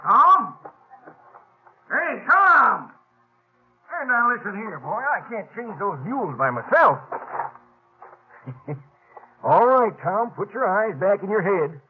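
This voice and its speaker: An actor playing a crusty, bossy old stagecoach station hand in a western radio drama, with a folksy, scolding drawl. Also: male voice, high-pitched, sing-song, slow pace, long pauses, heavily acted. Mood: teasing. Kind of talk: 1950s western radio acting. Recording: tinny old radio broadcast, faint noise.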